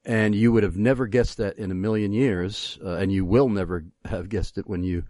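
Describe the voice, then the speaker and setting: Male interview host for radio. Low voice, dry studio-like sound, effusive, wordy, halting delivery. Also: fairly even intonation, mellow, no echo. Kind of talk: conversational radio interview hosting.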